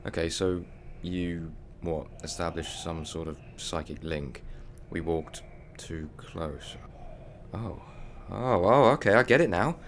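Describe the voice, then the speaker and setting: A man playing a quick-witted, defiant human time traveller in a sci-fi audio drama, sardonic and chatty as he thinks aloud. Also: deep voice, noisy background. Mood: working it out. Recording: music behind the voice.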